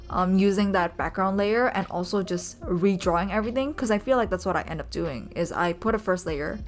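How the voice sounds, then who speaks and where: A young woman with a lower voice narrates a sketching vlog at an easy, rambling pace, often pausing with ums. She is a native Indonesian speaker talking in English, with soft music playing under her voice. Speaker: vlogger and urban sketcher. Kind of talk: casual voice-over narration.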